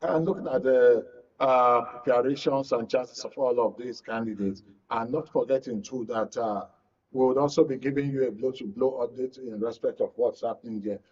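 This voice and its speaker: A man's voice: medium pitch, moderate pace, a little mellow, slightly rough, lo-fi sound. A man with a Nigerian accent hosting a live current affairs programme. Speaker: programme host.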